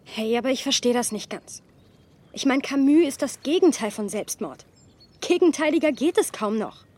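Husky woman a sensitive bookish friend, speaks candidly, radio play dialogue. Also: high voice, animated, moderate pace, darkish tone. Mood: puzzled.

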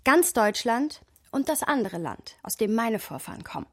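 Witty woman in a radio play, bit husky, wry and playful, likes to argue and ramble. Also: on the high side, very animated, normal speed, a bit bright, dry recording, studio quality, exaggerated voice. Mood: argumentative, playful. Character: Sophia, witty, argumentative woman musing about her friend. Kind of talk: radio play narration and dialogue.

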